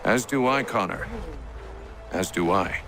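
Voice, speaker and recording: An actor playing a dignified, resolute middle-aged army commander in a video game, speaking in a grave, formal, deliberate manner. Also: male voice, deep voice, music in the background. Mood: grave, hopeful. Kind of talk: video game cutscene acting.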